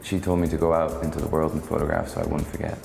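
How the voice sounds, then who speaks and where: A man from Hong Kong with an extremely low, gravelly voice, musing softly in halting phrases. He is recorded in a roomy space with a long reverb tail and faint music underneath. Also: flat delivery. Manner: soft-spoken, musing, halting. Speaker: photographer. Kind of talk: reflective documentary interview.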